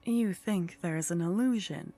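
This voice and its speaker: A woman playing a teasing, condescending female vampire in a soft ASMR roleplay, languid and haughty, often slipping into whispers. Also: lowish voice, unhurried. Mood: wry, coaxing. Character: teasing, condescending female vampire, sly, centuries-worldly contact.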